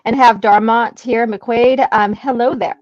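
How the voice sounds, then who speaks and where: A woman's voice: a little high, fairly even intonation, unhurried, a little mellow, dry recording, dull audio. A woman hosting a livestream, chatting informally and reading out viewer comments. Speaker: livestream panel host.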